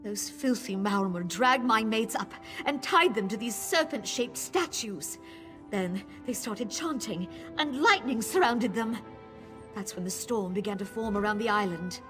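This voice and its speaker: A woman's voice: medium pitch, measured pace, natural voice. An actress playing a frightened, urgent female sailor who escaped captivity in a fantasy video game. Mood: haunted, recounting. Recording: game music behind the voice.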